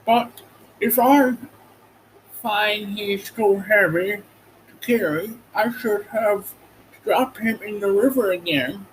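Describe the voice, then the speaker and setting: A man voicing a female stork in a storybook, blunt but helpful, with a slow, drawn-out way of talking. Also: high voice, a little hiss or hum, voice pushed up, low-quality recording. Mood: doubtful.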